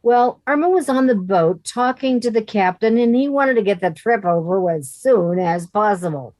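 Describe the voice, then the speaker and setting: A woman's voice: mid-range voice, expressive, normal speed, darkish tone, dry recording, natural voice. A woman voicing a sensible, wry young woman who narrates in an amateur radio comedy reading. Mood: wry, friendly. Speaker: amateur radio play actor.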